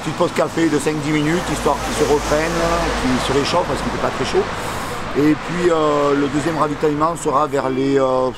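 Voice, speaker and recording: A man's voice: on the high side, fairly even intonation, brisk. A man explaining his logistics driving, hesitant with fillers, outdoors by a road. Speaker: logistics driver. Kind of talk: casual explanation. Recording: noisy outdoor recording.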